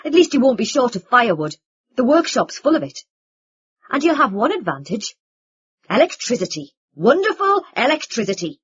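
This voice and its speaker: Angry young man played by a woman narrator, loud and cutting, in a dull recording. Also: mid-range voice, big pitch swings, moderate pace, slightly rough. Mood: sarcastic. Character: bitter, furious young man accusing his family. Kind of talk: audiobook character reading.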